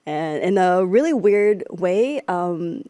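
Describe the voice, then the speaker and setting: A woman's voice: on the low side, expressive, slow pace, warm tone, clear, resonant tone, dry recording. A young woman from the Philippines, a startup co-founder, answering interview questions casually with lots of "like" fillers. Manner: chatty, frequent "like" fillers.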